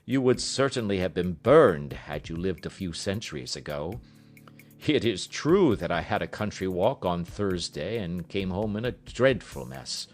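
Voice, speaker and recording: A man voicing a mild, bemused gentleman doctor in an audiobook, earnest and gently protesting. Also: sits low, expressive, unhurried. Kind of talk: audiobook character reading.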